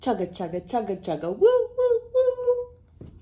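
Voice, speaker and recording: A woman in her thirties reading a picture book aloud to children in a playful, expressive way. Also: extremely high pitch, a little slow, lo-fi sound. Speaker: children's read-aloud host.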